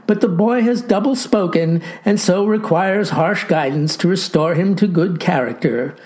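A woman's voice: fairly low pitch, normal speed, darkish tone, natural voice. A woman voicing a stern, self-righteous male farmer who speaks in verbose, formal phrases in a comic story.

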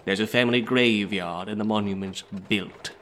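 A man reading a story aloud voices a calm, haughty butler who turns callers away with slow, clipped refusals. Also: lowish voice, a little hiss or hum, lightly acted. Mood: dismissive.

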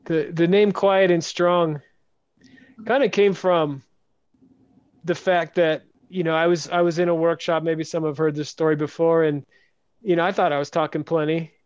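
A man hosts a podcast interview in a soft, hesitant manner and often restarts his sentences. His voice sits a bit high. The audio is dry and dull, as if muffled. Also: fairly even intonation, moderate pace, smooth, dry recording. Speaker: podcast host. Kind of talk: casual interview chat.

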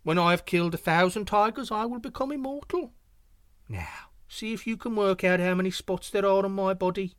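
A man's voice: fairly quick, clean tone, no echo, clean studio sound, close to an everyday voice. A man voicing a small, boastful male spotted deer who brags scornfully in a storybook tale. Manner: scornful, shrill bragging.

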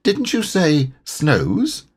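A man doing a small, timid, squeaky piglet. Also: huge pitch swings, drawn-out words, breathy edge, heavily acted.

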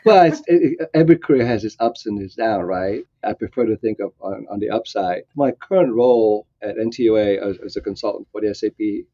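A man's voice: on the low side, a little fast, few pauses, warm tone, no echo, dull audio. A man in his sixties who grew up in Vietnam, answering interview questions in a reflective way, with many fillers and restarts. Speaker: guest.

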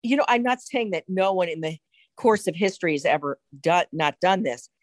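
A mature woman speaks as a talk show host in a conversational, explanatory way. Her pitch moves freely as she stresses her points, and the sound is dry and close. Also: animated, fairly quick, no room sound. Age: middle-aged or older. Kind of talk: conversational interview.

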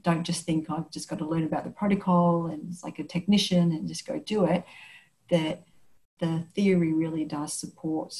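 Australian woman hosting a warm-toned podcast. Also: low-pitched, gravelly, poor audio. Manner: thoughtful, restarts sentences, frequent affirming murmurs. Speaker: podcast host. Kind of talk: casual interview chat.

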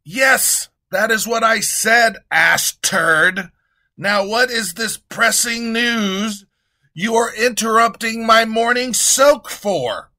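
Man playing a pompous lazy king, loud and bellowing, high pitched, bright. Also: slow, full character voice. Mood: haughty. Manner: bellowing, insulting, shrill when panicked. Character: pompous blustering male king, lazy and rude.

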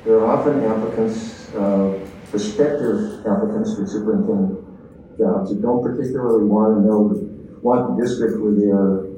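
Male board member, very mellow, speaking at a meeting, heard from afar in a reverberant room. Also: fairly low pitch, highs cut off. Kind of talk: public meeting remarks. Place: indoor public meeting room. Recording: very echoey meeting room, distant, faint noise.

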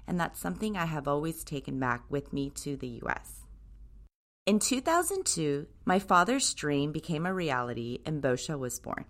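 A woman in her thirties from Japan, hosting a podcast and reading a scripted monologue in an upbeat, enthusiastic way. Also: low-pitched. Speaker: podcast host, brand co-creator.

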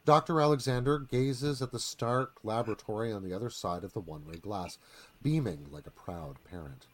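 A man's voice: on the low side, normal speed. A man reading screenplay narration dramatically for a live radio theatre performance.

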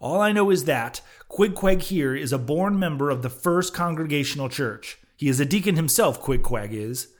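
A man voicing an earnest, quick-witted young sailor in an audiobook, polite, wordy and argumentative. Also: a little high, expressive, natural voice. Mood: insistent. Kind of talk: audiobook character voice.

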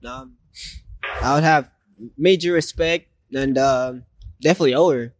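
A nineteen-year-old man answers in short, hesitant phrases. His pitch sits in the middle range. The recording is dry and close. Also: normal speed, no room sound. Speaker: interviewee.

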